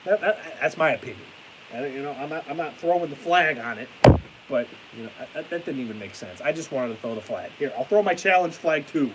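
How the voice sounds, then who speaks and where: A young man chatting on a live stream with a stuffy nose and a fading voice, ranting excitably and then trailing off into tired mumbles. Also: fairly high pitch, a little fast. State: stuffy-nosed, losing his voice. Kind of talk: casual live stream chat. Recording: hissy home stream mic.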